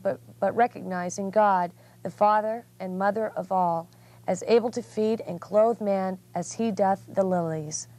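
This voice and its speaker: A woman reading religious text aloud as a guest reader, in a measured, earnest way. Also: mid-range voice, a little mellow.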